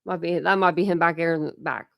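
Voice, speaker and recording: A woman's voice: on the low side, fairly even intonation, fast, gravelly, fairly bright tone, dry recording, clean studio sound. An American woman hosting a true crime livestream, chatting casually in slangy, mildly cursing speech. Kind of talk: casual live chat.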